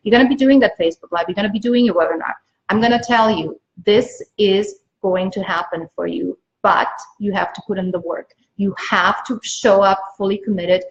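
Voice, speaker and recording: A woman from Austria, a native German speaker, speaks as a business coach giving an emphatic, repetitive motivational pitch on a livestream. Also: normal speed. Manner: emphatic, repeats phrases for stress. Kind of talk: motivational live sales pitch to viewers. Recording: dull lo-fi livestream audio.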